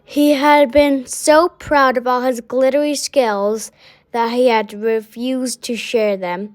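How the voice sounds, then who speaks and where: Slow, high-voiced woman reading a storybook. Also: steady tone. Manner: halting, stumbling over words. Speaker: storybook reader. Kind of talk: children's story read-aloud.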